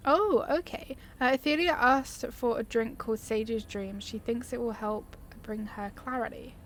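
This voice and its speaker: A woman chats her way through a video game in a slightly high voice. She is informal, enthusiastic and easily sidetracked, with faint noise and game ambience behind her. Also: measured pace. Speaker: gaming video creator. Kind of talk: casual chatty gameplay commentary, reading game dialogue options aloud.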